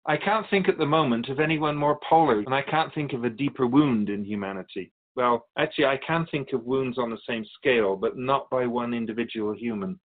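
Man as measured, solemn keeper of souls. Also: mid-range voice, narrow, tinny audio, lightly acted. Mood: solemn, explanatory. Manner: measured, didactic, formal. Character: calm otherworldly male soul keeper, earnest storytelling spirit. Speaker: interviewee. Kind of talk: radio drama dialogue.

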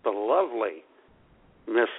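A man co-hosting an internet radio show in casual, playful comedic banter, with a gravelly voice. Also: fairly low pitch, very animated, slow. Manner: playful, joking. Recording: dull lo-fi internet radio sound.

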